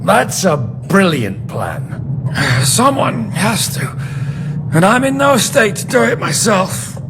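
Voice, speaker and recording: A man's voice: sits high, big pitch swings, slow, vocal fry, over music, exaggerated voice. A man playing a battle-worn, commanding rebel captain in a medieval video game, with a blunt, wry way of talking. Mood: scheming, commanding. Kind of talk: cinematic video game acting.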